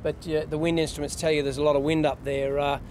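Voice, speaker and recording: Australian yacht captain, slightly high voice. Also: male voice, flat delivery, fast, darkish tone, slight background noise. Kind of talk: casual explanation to camera. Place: outdoors on a yacht deck and dockside.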